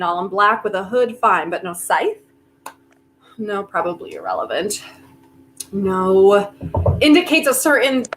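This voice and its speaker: A woman acting in a staged play reading as a desperate, quick-witted, volatile woman who argues in a halting, emphatic way, thinking aloud. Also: mid-range voice, slight background noise, close to an everyday voice.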